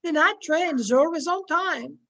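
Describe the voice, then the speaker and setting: A woman's voice: high voice, unhurried, vocal fry, bright, forceful tone, heavily acted. A woman playing a tender old family confidante in a radio drama, soothing and motherly. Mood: tender, sorrowful. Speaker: actor in an amateur radio play. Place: at home, recorded over video call.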